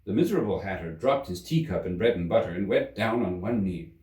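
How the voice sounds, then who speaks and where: A man reading a classic children's story aloud in expressive scripted narration. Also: low-pitched, brisk. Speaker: storybook reader. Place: room in a museum. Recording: roomy, slightly distant microphone.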